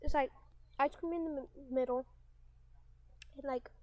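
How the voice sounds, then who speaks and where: A high-pitched woman reacts to a video in casual, playful chat, teasing as she goes. The recording has clear background noise with small clicks. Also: fairly even intonation, moderate pace, a little mellow.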